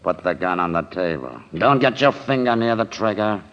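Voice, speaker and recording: An actor playing a cold-blooded, smug, commanding gangster killer in an old-time radio crime drama. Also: male voice, on the low side, expressive, moderate pace, a little hiss or hum, lightly acted. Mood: gloating menace. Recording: dull vintage radio recording.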